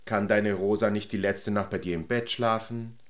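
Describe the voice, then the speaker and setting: A man's voice: lowish voice, steady tone, moderate pace, close to an everyday voice. A man playing a busy, coaxing father of a young girl in a children's radio drama. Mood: mildly puzzled. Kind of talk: natural radio drama acting.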